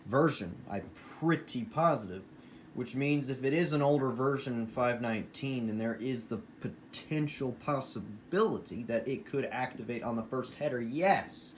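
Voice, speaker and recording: A man from the United States giving a casual, enthusiastic show-and-tell as a hobby collector, talking in halting phrases with emphatic bursts. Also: medium pitch. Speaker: hobbyist collector presenting his weather radios. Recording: noisy room, clear background noise.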